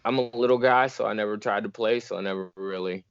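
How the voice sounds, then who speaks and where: A man's voice: on the low side, fast talker, smooth, no room sound. A young man from Virginia, a fighter talking in a casual, easygoing way on a video call interview. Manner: relaxed, easygoing, laughs often. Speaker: bare-knuckle fighter, guest. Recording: muffled dry call audio.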